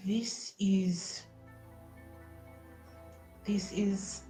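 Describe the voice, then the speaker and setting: A woman's voice: mid-range voice, steady tone, very slow, dark tone, soft background music. A woman improvising casual, slangy in-character banter as a player in a tabletop role-playing game. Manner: slangy, informal.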